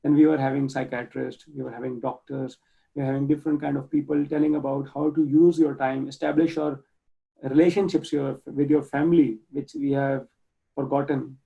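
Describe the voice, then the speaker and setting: A man's voice: mid-range voice, flat delivery, brisk, creaky. A man from Pakistan with a Pakistani accent, talking earnestly as an interview guest over a video call, often restarting words as he searches for phrasing. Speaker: guest, project manager and nonprofit volunteer. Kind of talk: casual interview talk. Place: home room, indoors. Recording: dull lo-fi video call audio.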